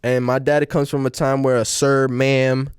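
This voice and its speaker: Young man, casual slang, smooth voice, dry sound. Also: mid-range voice, little pitch movement, normal speed, no echo. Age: young adult. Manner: rambling, restarts with "like" and "you know". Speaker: podcast host. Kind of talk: casual chat.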